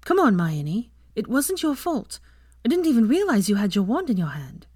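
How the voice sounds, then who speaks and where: Woman voicing a fiery, high-pitched outspoken teenage girl. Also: very animated, normal speed, darkish tone, breathy edge, dry recording, clean studio sound. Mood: earnest. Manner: emphatic, blunt, swearing. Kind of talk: audiobook character voice.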